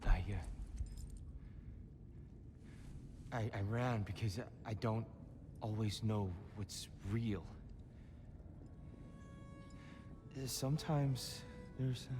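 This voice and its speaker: An actor playing a frightened, gentle, broken god in a video game, speaking formally and hesitantly. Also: male voice, fairly low pitch, unhurried, over music, close to an everyday voice. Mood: wary, hesitant. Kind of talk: dramatic video game acting.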